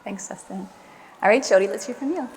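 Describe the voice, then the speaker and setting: A woman moderating a conference panel with brisk, upbeat introductions. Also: mid-range voice, slight background noise. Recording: reverberant conference hall.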